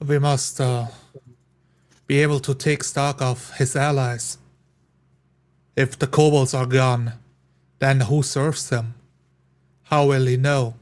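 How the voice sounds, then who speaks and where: Sober, formal fantasy adventurer acted by a man, middle register, deliberate delivery for tabletop roleplay. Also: medium pitch, level tone, lightly acted. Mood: grave.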